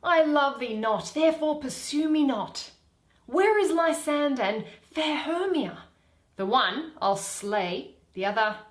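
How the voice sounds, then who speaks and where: A woman's voice: high voice, lively intonation, slow pace, roomy sound, voice pushed up. A woman playing an exasperated young Athenian man in a dramatic Shakespeare reading, emphatic and declamatory. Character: scornful young Athenian man, exasperated, spurning a lovesick pursuer.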